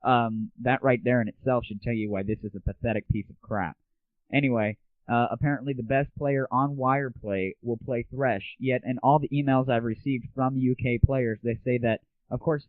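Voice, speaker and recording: A man's voice: mid-range voice, no room sound. A man hosting an online gaming news show, talking casually in a slangy, opinionated and irreverent way. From United States. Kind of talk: casual solo news commentary. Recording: muffled phone-like lo-fi audio.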